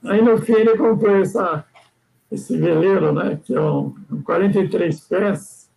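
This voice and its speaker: Gravelly high-voiced older man rambling. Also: a little slow, a little mellow, low-quality recording. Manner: rambling, frequent "né?" tags and restarts. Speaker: guest sailor. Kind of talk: casual storytelling chat.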